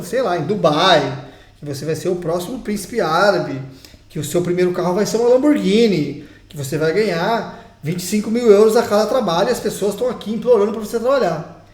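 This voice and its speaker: A man's voice: high voice, a little mellow. A young Brazilian man with a Brazilian Portuguese accent, talking in a chatty, emphatic vlog and speaking straight to his viewers. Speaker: vlogger. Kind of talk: casual opinion vlog. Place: home in Portugal. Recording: roomy echo.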